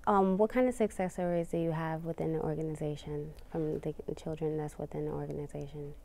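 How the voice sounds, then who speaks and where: A woman interviewing a guest, asking measured, slightly hesitant questions. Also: deep voice, steady tone, vocal fry, warm tone, slight background noise.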